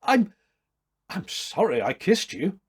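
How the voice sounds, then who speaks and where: A man voicing a polite young gentleman in a storybook, wry and a little awkward. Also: sits high, very animated, takes it slowly, breathy edge, pitched above the performer's own voice, heavily acted. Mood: flustered.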